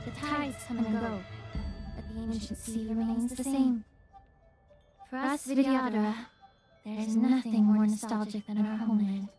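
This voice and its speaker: An actress playing a poised, courteous, reflective young woman physician in a video game, speaking in a measured, formal way. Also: fairly high pitch, fairly even intonation, natural voice. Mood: nostalgic. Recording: game audio with music behind.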